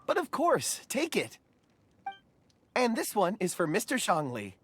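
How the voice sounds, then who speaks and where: A man playing a polite, earnest young dreamer in a video game, speaking gently and courteously with a wistful air. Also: sits high, wildly swooping pitch, a little slow, a little hiss or hum, full character voice. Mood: earnest, grateful. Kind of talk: video game character acting.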